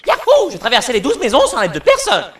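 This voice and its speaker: A man's voice: very high-pitched, huge pitch swings, fast, noisy background, full character voice. A man playing a brash, boastful young knight in an anime parody dub, exclamatory and whiny. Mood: boastful, indignant. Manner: exclamatory, theatrical whining.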